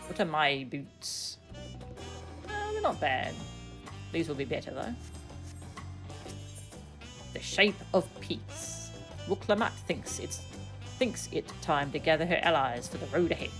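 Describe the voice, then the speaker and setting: Low-pitched, animated woman game streamer. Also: measured pace, long pauses, over music, rough recording. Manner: giggly, playful. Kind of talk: casual live commentary, reading game text aloud.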